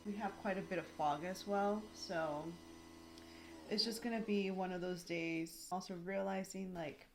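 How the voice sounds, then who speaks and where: A woman in her thirties chatting casually at home in a rambling vlog, full of "like" fillers, her throat a little raspy. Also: medium pitch, steady tone, unhurried, rough recording. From United States. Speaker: lifestyle vlogger. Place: home, indoors.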